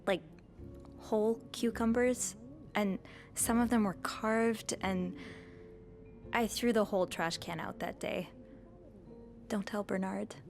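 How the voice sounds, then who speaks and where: A woman's voice: mid-range voice, fairly even intonation, slow pace, pauses often, a little mellow, soft background music. A woman playing a shy, awkward, earnest young hotel maid in a video game, speaking hesitantly. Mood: casual, awkward. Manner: hesitant, stammering. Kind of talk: naturalistic video game acting.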